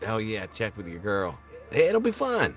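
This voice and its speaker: A man's voice: lowish voice, huge pitch swings, unhurried, soft background music. A man playing a cocky, teasing small-town guy in a film script table read. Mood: boisterous.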